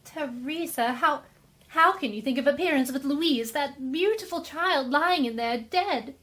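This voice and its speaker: Woman playing a high-voiced, gentle wealthy lady. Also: unhurried. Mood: distressed. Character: well-to-do lady, gentle and upset. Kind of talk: radio play acting.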